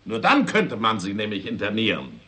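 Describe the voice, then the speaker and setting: An actor playing a principled, legalistic justice minister in a 1960s radio drama, arguing in a precise, formal manner. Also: male voice, lowish voice, huge pitch swings, normal speed, sharp tone, heavily acted. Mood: formal, insistent.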